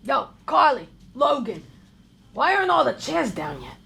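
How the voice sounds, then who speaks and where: A woman's voice: sits high, big pitch swings, moderate pace, gravelly, fairly bright tone, slight background noise, full character voice. A woman playing a harried, exasperated divorced bar owner in his fifties, in a live comedy table read. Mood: bossy, businesslike.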